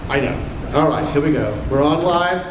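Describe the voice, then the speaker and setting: A man's voice: a little high, moderate pace. A man talking casually backstage as a show director, hesitant and rambling. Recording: echoey room, distant mic, loud noise.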